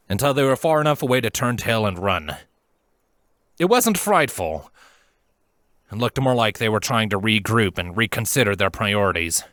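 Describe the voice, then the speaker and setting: A man narrating scripted fan fiction in an earnest, dramatic storytelling manner with deliberate pauses. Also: lowish voice, a bit bright, no room sound, studio quality.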